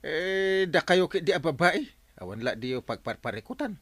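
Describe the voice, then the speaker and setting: An actor playing an easygoing village captain in an Ilocano radio comedy drama. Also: male voice, a little high, lively intonation, moderate pace, fairly bright tone, no echo. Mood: reasoning, surprised.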